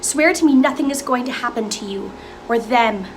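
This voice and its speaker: A woman's voice: on the high side, normal speed, slight background noise, natural voice. A woman acting a worried, reproachful wife and mother in a musical's dramatic dialogue. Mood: anxious, pleading.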